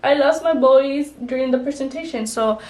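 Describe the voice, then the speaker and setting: A woman's voice: high voice, normal speed, a little mellow, low-quality recording. A young woman vlogger chatting casually while doing her makeup, rambling with lots of "like" and "you know". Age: young adult. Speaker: beauty vlogger.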